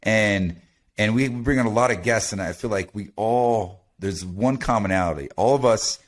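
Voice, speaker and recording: A man from the United States hosting a podcast, chatty and animated, with lots of restarts. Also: lowish voice, moderate pace. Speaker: podcast host. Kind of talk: casual interview talk.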